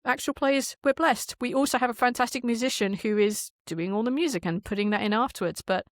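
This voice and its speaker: A woman's voice: fairly high pitch, a little fast, no echo, studio quality. A woman speaking as an interview guest, casual and opinionated, with an emphatic, informal manner and rhetorical questions. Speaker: podcast guest, audio drama creator.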